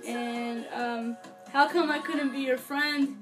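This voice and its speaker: This is a young woman with a high voice, chatting casually to a camera in her bedroom. She sounds earnest and gushing, like a devoted fan, and often hesitates or lets a thought trail off. Music plays clearly behind her. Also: fairly even intonation, slow pace, low-quality recording. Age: young adult. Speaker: vlogger, fan album reviewer. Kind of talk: casual unscripted review to camera. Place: small furnished bedroom, indoors. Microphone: camera or webcam a few feet away.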